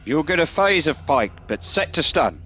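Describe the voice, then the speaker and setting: A man playing an excitable, high-pitched old soldier. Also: moderate pace. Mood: eager. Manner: deferential, rambling, comically wordy. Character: eager, excitable old soldier as starship security chief. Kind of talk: comic radio play acting.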